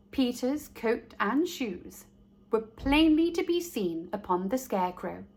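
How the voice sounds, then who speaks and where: A woman reading a children's story aloud as host, speaking directly to young listeners in a deliberate way. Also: mid-range voice, a bit bright.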